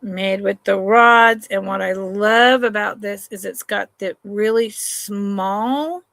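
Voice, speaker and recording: Slow, relaxed woman hosting a live sale. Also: medium pitch, vocal fry, no echo. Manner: chatty, unhurried, trails off and restarts sentences; affectionate to viewers, calls them honey and sweetheart. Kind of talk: slow, relaxed live-stream sales chat.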